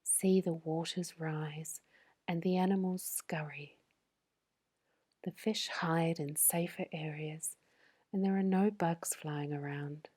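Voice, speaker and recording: Woman, breathy whisper, sleep meditation guide, very slow, low and mellow delivery. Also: fairly even intonation. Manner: slow, soothing, gentle.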